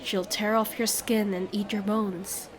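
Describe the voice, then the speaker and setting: A woman plays a mercenary female keeper of a captive creature in a fantasy audio roleplay. She talks very slowly at a medium pitch. Her manner is sly, smooth-talking and mocking. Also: flat delivery, some chatter behind, close to an everyday voice, rough recording. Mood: warning, coaxing.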